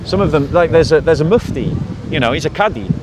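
A man's voice: fairly high pitch, animated, fast talker. A man debating outdoors in a park, pressing his points and steering the conversation forward. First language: English. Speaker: street debater. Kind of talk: public debate. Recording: crowd noise outdoors.

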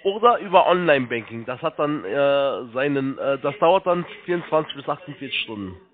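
A man working as a call-centre agent, giving halting step-by-step instructions, repeating himself and spelling things out. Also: mid-range voice, expressive. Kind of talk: step-by-step phone sales instructions. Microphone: telephone. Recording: tinny narrow phone line.

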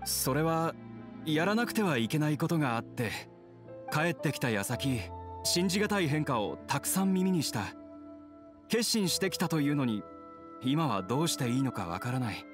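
A man's voice: on the high side, very animated, moderate pace. An actor playing a troubled, polite young man on the run in a game, earnest, subdued and apologetic. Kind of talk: video game voice acting. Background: background music.

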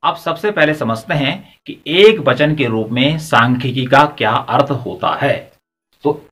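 A man's voice: mid-range voice, a bit bright. A man in his forties teaching at a chalkboard, explaining step by step and posing rhetorical questions to the class. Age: 40s. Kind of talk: blackboard lecture. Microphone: lapel mic.

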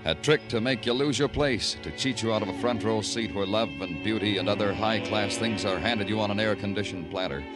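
A man's voice: on the low side, fairly quick, hardly any pauses, natural voice. An American man playing a hard-boiled, world-weary police detective in an old radio crime drama, with clipped, wry, florid delivery. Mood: brooding, wry. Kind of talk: old-time radio crime drama acting, noir narration. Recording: old radio broadcast with music underneath.

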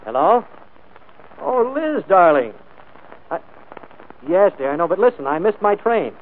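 An actor playing a wealthy, proud and urbane employer in a 1940s radio drama, speaking in a clipped, imperious way. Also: male voice, high-pitched, sing-song, a little slow. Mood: smooth, breezy. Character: wealthy, commanding employer, suave and proud gentleman. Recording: tinny, noisy 1940s radio broadcast.

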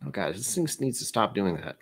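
Guy in his 30s rambling on a home stream, kinda low voice, jokes around a lot. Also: big pitch swings, brisk, creaky, breathy edge. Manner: rambling, self-mocking, dabbles in joke accents. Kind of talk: casual livestream chat. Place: home room with shelves of bottles.

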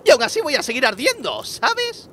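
A man's voice: very high-pitched, wildly swooping pitch, normal speed, a bit bright, a little hiss or hum, heavily acted. A man voicing a hot-tempered, exasperated cartoon hero in a comedy dub, foul-mouthed and over-the-top.

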